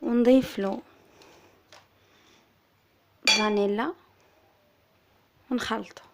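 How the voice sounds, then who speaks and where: A woman with an Algerian Arabic accent who is slightly hoarse from illness, calmly explaining a recipe as a cooking-channel host. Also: fairly high pitch, slow pace, very noisy. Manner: soft, subdued, steady explaining. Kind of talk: recipe tutorial voice-over.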